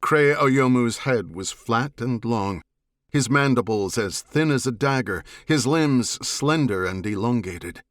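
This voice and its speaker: A man narrating a science fiction audiobook in a measured, serious and dramatic manner. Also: mid-range voice, animated, no echo, studio quality.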